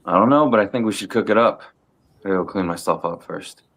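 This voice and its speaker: A man reading the part of a tough, watchful, gruffly protective uncle from a crime family at a film table read. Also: fairly low pitch, fast talker, fairly bright tone. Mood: calm, reassuring. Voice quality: gravelly.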